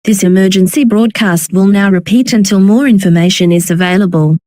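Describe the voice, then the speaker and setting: A woman's voice: medium pitch, brisk, mellow, no room sound. A woman reading a scripted, formal emergency broadcast announcement.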